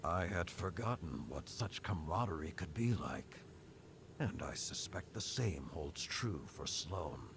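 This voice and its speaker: An actor playing an old, weathered male warrior lord who speaks in a grave, measured way. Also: low voice, animated. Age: older. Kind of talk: game cutscene dialogue. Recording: noisy game audio.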